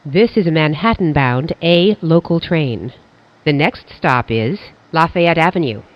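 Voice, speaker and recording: A train announcer reading scripted station announcements in a formal, measured, pieced-together way. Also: medium pitch, animated, lo-fi sound.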